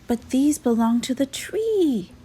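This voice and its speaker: Woman voicing an earnest, high-pitched little fox cub. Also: sing-song, slow, mellow, slightly rough, exaggerated voice. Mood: alarmed, pleading. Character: young male fox cub, earnest, worried, protective.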